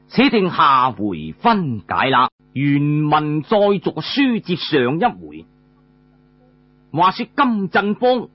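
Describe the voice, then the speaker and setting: A man's voice: fairly high pitch, husky, muffled recording. A man with a Cantonese accent telling a story in traditional audiobook style, with dramatic, colloquial delivery.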